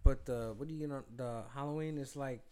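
A man's voice: mid-range voice, a little fast, a loud crowd. A man bantering casually among friends on a podcast, blunt and slangy. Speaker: podcast co-host. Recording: other voices talking over.

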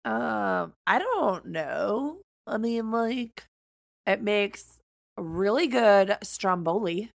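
A woman's voice: medium pitch, animated, very slow, vocal fry, dry recording, studio quality. An American woman co-hosting a podcast in casual chat, with a blunt, teasing, slangy way of talking. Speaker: podcast co-host.

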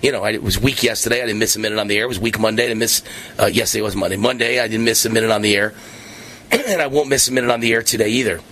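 A middle-aged man hosting a talk radio show, hoarse and losing his voice, rambling and repeating himself with many fillers. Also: fairly low pitch, racing, a bit bright. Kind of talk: casual monologue on talk radio.

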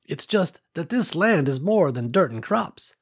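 A man's voice: on the high side, sing-song, unhurried, pitched above the performer's own voice, exaggerated voice. A man doing a folksy old farm woman. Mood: gentle. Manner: gentle folksy drawl with endearments. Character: warm elderly woman farmer, folksy and wise.

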